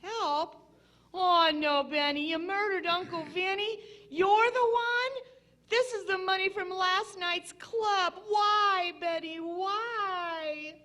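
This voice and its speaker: An actress playing a melodramatic, high-strung young niece in a 1940s radio drama, with theatrical exclamations. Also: female voice, takes it slowly, bright, forceful tone, full character voice. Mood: shocked, anguished.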